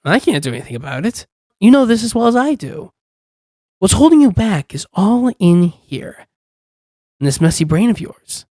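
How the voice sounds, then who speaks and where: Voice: mid-range voice, very animated, warm tone, no room sound. Performer playing a smug, teasing demon, close and dry. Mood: taunting. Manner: teasing, coaxing, unhurried with lingering pauses. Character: smug, teasing demon contract-dealer, calmly dominant over captive. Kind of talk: ASMR roleplay, intimate scripted monologue.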